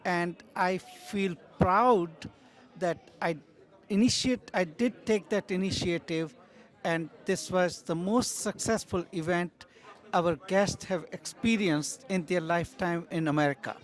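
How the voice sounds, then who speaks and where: Very high-pitched man with an Indian accent. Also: unhurried. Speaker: event organizer. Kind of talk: proud, formal event remarks.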